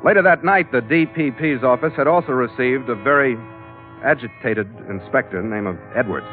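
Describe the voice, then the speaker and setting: A tough, wry skipper played by a man from a 1940s radio adventure, telling his tale in hard-boiled style. His voice sits at a medium pitch. The recording is narrow and tinny, with faint music. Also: normal speed, close to an everyday voice. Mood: vivid, storytelling. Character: tough, wry sea captain narrating his own adventures. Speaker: lead actor and narrator.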